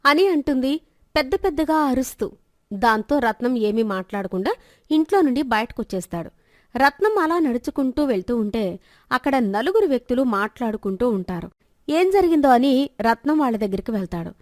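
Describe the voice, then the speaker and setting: A woman narrates a scripted village moral tale. Her voice is high and the recording is dry, with very little room sound. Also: big pitch swings, fairly quick, no room sound.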